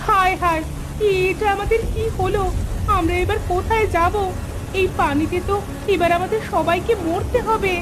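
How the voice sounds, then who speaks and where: A woman's voice: extremely high pitch, moderate pace, noisy background. A woman playing a weary, plaintive village woman in a cartoon. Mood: panicked. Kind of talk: cartoon character voice acting. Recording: music under the voice.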